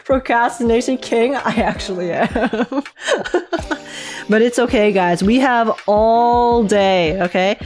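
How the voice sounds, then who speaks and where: A woman hosting a casual gaming livestream, chatting excitably, teasing herself and repeating phrases for emphasis. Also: fairly high pitch, slow pace, faint music behind. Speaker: livestream host and gaming reactor. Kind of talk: casual livestream chat and trailer reaction.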